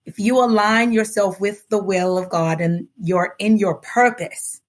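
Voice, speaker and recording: A woman from Nigeria, speaking American English with a light Yoruba tinge, talks as a guest on a podcast. She is earnest and reflective, laughs easily, and slows down to stress her points. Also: mid-range voice. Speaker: guest author. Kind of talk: relaxed podcast interview.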